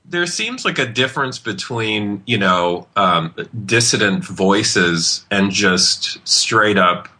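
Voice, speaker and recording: A man's voice: on the low side, expressive, slow pace, few pauses, bright, forceful tone, breathy edge. A man in casual conversation, hesitant and full of fillers as he works out his thoughts. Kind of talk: casual chat.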